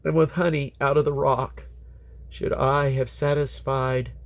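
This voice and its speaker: A man's voice: medium pitch, level tone, unhurried. A man reading Bible scripture aloud in a solemn, declamatory manner, letting his phrases fall away at the end. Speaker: Bible reader.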